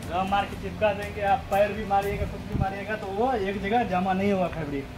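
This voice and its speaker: A young man in a mattress workshop, explaining each step while he works, with loud music playing over his voice. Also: high voice, steady tone, a little fast, poor audio. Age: young adult. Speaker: factory worker explaining mattress making. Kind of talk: casual how-to explanation.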